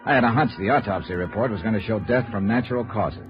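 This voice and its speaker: Noir insurance investigator played by a man: businesslike, courteous, low-pitched, dull vintage radio sound. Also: fast talker, soft background music, natural voice. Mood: weary, skeptical. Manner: clipped, dry, businesslike. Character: hard-boiled, courteous male freelance insurance investigator. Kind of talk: 1950s radio noir acting.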